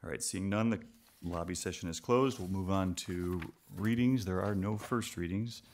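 A man's voice: low-pitched, normal speed, darkish tone, slight background noise. A man formally chairing a public meeting, speaking procedurally into a microphone in a council chamber. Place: mid-sized council chamber indoors.

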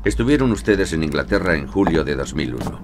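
A man's voice: extremely low pitch, animated, fairly quick, background noise. An actor playing a blunt, hot-tempered male police commander in an audio drama. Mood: stern questioning. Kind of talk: audio fiction acting.

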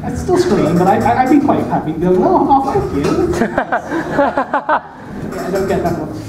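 A man's voice: high-pitched, very animated, normal speed, over a noisy crowd. An eighteen-year-old man doing a stand-up set, who stutters and repeats words, nervous and self-mocking, chuckling at his own jokes. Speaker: stand-up comedian. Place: small dark club stage. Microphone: handheld mic, filmed from far in the room. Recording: echoey club room taped from far off, loud room noise.

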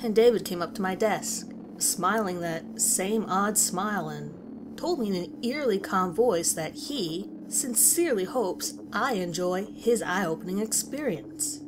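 A woman's voice: medium pitch, animated, a little slow, natural voice. A woman narrating a scripted horror story as a concerned, unsettled teacher. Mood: uneasy, reflective. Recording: clearly audible background ambience noise.

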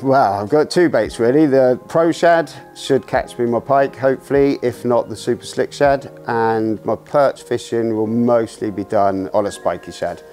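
A man answering interview questions as a competing angler. He talks in a relaxed, hedging way, recorded on a lapel mic indoors. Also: mid-range voice, a little slow, hardly any pauses, faint music behind. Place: indoor room.

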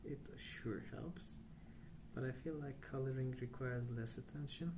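A man speaking softly and hushed in an unhurried, halting ASMR ramble, often trailing off. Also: mid-range voice. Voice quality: soft, hushed. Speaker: ASMR video host. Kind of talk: soft-spoken ASMR rambling. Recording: clear background noise.

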